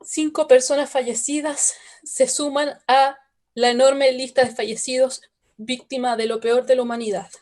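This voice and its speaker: A woman's voice: high voice, steady tone, normal speed, darkish tone, close to an everyday voice. A woman playing a shaken radio announcer. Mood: urgent, shaken. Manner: urgent, faltering broadcast delivery. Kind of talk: radio drama acting.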